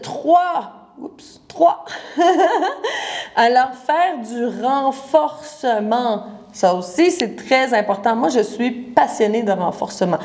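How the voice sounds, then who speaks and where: A woman's voice: mid-range voice, a little mellow. A woman in her forties with a Quebec French accent, a naturopath giving a casual live talk and speaking straight to the viewer with everyday comparisons. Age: 40s. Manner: chatty, addresses the viewer directly, explains with everyday comparisons.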